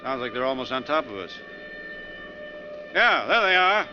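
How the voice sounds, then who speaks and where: A man's voice: mid-range voice, sing-song, normal speed, noisy background, close to an everyday voice. An American actor playing a talkative, nervy male bus passenger in 1940s radio drama style, speaking colloquially. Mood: uneasy. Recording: muffled 1940s radio recording.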